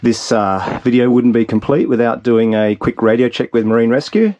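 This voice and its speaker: A man's voice: mid-range voice, normal speed, dark tone, lo-fi sound. A man in his forties hosting a casual instructional vlog. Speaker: channel host.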